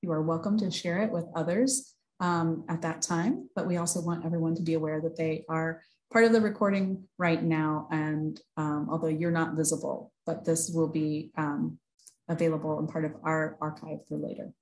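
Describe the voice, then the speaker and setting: Woman in her 30s doing webinar intro on a video call, voice a bit low. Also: steady tone, moderate pace, a little mellow, a little hiss or hum, poor audio. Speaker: webinar host. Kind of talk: semi-formal spoken introduction. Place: small indoor office. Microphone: video call, computer microphone.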